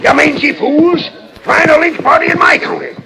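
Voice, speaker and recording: An actor playing a hot-tempered western sheriff who barks in a folksy drawl, in 1940s radio style. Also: male voice, very high-pitched, steady tone, normal speed, over loud music, poor audio. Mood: furious, scolding. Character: blustering, hot-tempered old-west sheriff and lynch mob men. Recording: old radio transcription disc, background commotion.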